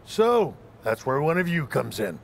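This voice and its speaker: A matter-of-fact head trainer gets straight to business. His voice is gravelly and slightly high, with faint background hiss. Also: very animated, a little slow, full character voice. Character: brisk male head trainer at a training center.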